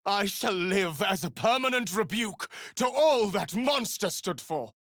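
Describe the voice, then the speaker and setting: A woman's voice: mid-range voice, animated, measured pace, dry recording, clean studio sound. A woman playing a giddy, theatrical freed vampire spawn in a fantasy game, dramatic and exclamatory. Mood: triumphant, bitter. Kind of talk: scripted fantasy game acting.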